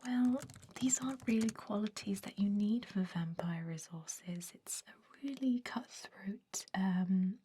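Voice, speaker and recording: A woman's voice: mid-range voice, measured pace, dark tone, a little hiss or hum, natural voice. A woman playing a centuries-old, fussy vampire recruiter in an ASMR roleplay, whispering with a hesitant, politely dismissive manner. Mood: politely unimpressed.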